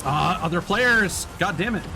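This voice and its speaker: Male game streamer, mid-low natural voice, grumbling and cursing through live play commentary, prominent music and engine noise behind. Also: animated, unhurried, low-quality recording. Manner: sweary, grumbling. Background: racing game music and engine sounds.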